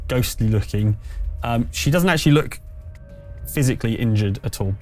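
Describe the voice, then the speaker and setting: A man's voice: medium pitch, animated, normal speed, a little mellow, faint music behind. A man running a tabletop roleplaying game, narrating off the cuff and chatting casually, with hesitant, trailing phrasing and self-corrections. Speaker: game master.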